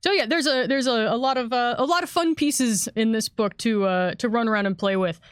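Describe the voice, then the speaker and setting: Female co-host, game designer, fairly high voice, very dry sound, upbeat casual chat. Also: a little fast, clear, resonant tone, no echo. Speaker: game designer co-hosting a livestream. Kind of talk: casual chat, answering viewer questions.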